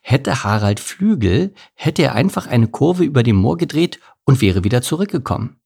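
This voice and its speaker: Storybook hedgehog, voiced by a male narrator: gentle deliberator, a bit fussy, husky creak. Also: mid-range voice, very animated, normal speed, exaggerated voice. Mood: pondering. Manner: mild, deliberating.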